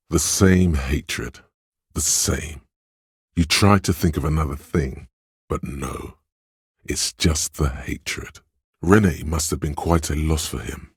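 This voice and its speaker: Sly game narrator, extremely low-pitched, heavily raspy, measured, insinuating, knowing inner voice. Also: male voice, no echo, clean studio sound, exaggerated voice. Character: sly, knowing inner voice reading people and coaxing the hero. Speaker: video game narrator voicing inner thoughts. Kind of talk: scripted dramatic game narration.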